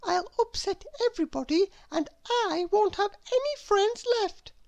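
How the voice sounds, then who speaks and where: Woman putting on a high-pitched, prim little storybook miss. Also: animated, unhurried, gravelly, a little mellow, heavily acted. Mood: unhappy, worried. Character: sensible, anxious female storybook character, prim and earnest.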